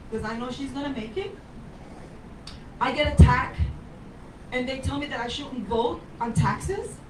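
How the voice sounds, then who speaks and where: An older woman from Puerto Rico speaks as a mayoral candidate, emphatic and wandering through personal stories. Her voice sits high. She is picked up from a distance in an echoey bar, with clear crowd noise underneath. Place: bar with a live audience. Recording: roomy sound from off the mic.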